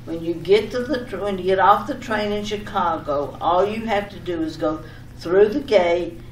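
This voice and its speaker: An actress playing a breezily insistent, mildly exasperated wife who coaxes and over-explains in old-time radio domestic comedy style. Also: female voice, medium pitch, moderate pace, lightly acted. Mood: insistent, over-explaining. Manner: chattering, coaxing, over-explaining. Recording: echoey, distant, slightly noisy old radio recording.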